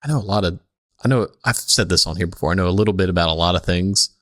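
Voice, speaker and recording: A man's voice: low voice, fast, a bit bright, no room sound, clean studio sound. A man co-hosting a podcast with casual, irreverent comedic banter. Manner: irreverent, swears freely. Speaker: podcast co-host.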